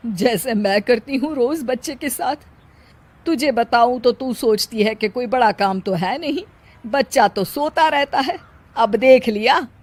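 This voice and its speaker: A sharp-tongued older mother-in-law from a family tale, lecturing and scolding, played by a woman. Her voice carries a bossy, teaching tone. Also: fairly high pitch, a little slow.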